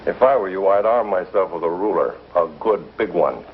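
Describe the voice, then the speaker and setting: A man's voice: low voice, lively intonation, normal speed. Wry frontier townsman, tinny vintage sound. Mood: skeptical, teasing. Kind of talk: 1950s television western acting. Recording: tinny, noisy 1950s television soundtrack.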